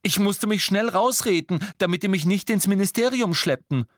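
A man's voice: fairly high pitch, expressive, measured pace, a bit bright, no echo, clean studio sound, voice pushed up. A man voicing a defensive, agitated teenage boy in an audiobook, insistent and earnest as he pleads his case. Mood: urgent explaining. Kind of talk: audiobook character voice.

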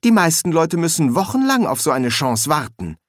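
A man's voice: fairly high pitch, very animated, moderate pace, no room sound, clean studio sound, pitched above the performer's own voice. A man voicing a sulky, questioning young woman in an audiobook. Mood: curious. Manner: sullen, questioning.

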